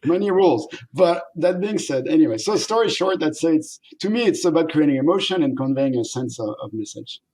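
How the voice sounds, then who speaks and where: A man's voice: fairly high pitch, brisk, few pauses, low-quality recording. A middle-aged man from France with a French accent, a company founder talking animatedly in a casual interview and laughing often. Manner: animated, laughs often, acts out quoted voices.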